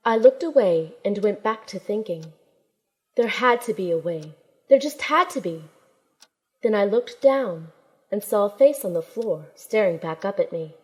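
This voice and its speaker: A woman's voice: mid-range voice, expressive, a little slow, a little mellow, natural voice. A woman playing a plucky, kind young shaman heroine who narrates her own story in an audio drama. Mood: tense, storytelling. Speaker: narrator and protagonist. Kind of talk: first-person audio drama narration and acting.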